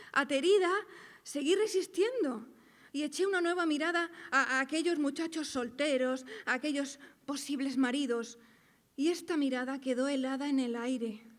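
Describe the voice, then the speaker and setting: Woman performing a coy young señorita, high-pitched, theatrical radio drama monologue, expressive drawn-out phrases, reverberant hall. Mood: rueful. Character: coquettish young single woman of 1890s Madrid, wistfully theatrical. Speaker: actress. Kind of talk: theatrical first-person monologue from a radio play. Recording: echoey hall reverb, live reading.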